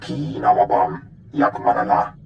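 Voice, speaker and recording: An actor voicing a gruff alien with a gravelly voice, speaking an invented tongue in a video game. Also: male voice, medium pitch, moderate pace. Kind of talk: scripted video game dialogue. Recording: dull, roomy sound with faint noise.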